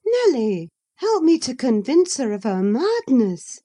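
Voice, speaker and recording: A woman's voice: sits high, very animated, measured pace, mellow, voice pushed up, full character voice. A woman voicing a proud, scornful young woman who speaks bluntly and emphatically.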